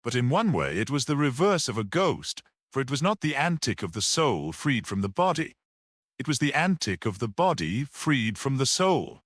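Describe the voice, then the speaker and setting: A man voicing a small, composed priest detective in an audiobook story, speaking in a measured, reflective manner. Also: mid-range voice, sing-song, a bit bright, no echo, studio quality, voice pushed up. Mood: composed, grave. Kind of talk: classic detective audiobook character reading.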